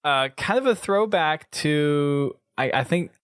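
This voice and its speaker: A man's voice: fairly high pitch, animated, slow, fairly bright tone, clear, resonant tone, no room sound, clean studio sound. A man from the United States hosting a casual gaming podcast in a conversational way. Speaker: podcast host.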